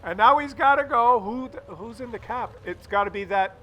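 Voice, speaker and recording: A man's voice: very high voice, moderate pace, pushed and bright, poor audio. A man hosting a gaming video with casual, wry and sarcastic replay commentary. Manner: wry, sarcastic, teasing, crude joking. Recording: faint game noise behind voice.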